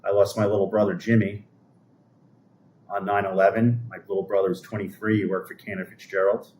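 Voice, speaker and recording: A man's voice: on the low side, level tone, brisk, a little mellow, a little hiss or hum. A man, chatting casually on a livestream in a rambling, conversational way that often trails off. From New York City, USA. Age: 50s. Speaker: livestream host. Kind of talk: casual livestream chat, answering viewer comments.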